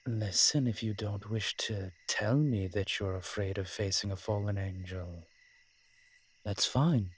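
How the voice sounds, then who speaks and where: A man acting as a wry, flirtatious incubus demon in a roleplay audio drama, teasing and sardonic, with a husky, breathy voice. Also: on the low side, a little slow.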